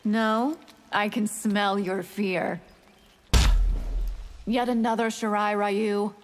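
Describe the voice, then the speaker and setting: A woman's voice: medium pitch, steady tone, takes it slowly, big-room sound, background noise, close to an everyday voice. An actress playing a predatory, bloodthirsty winged vampire woman who taunts her prey in a fighting game. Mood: taunting, hungry. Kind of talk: fighting-game character dialogue.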